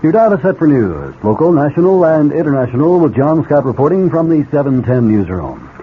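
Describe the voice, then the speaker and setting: Animated male radio announcer. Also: fairly high pitch, fairly quick. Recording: tinny old radio, faint noise.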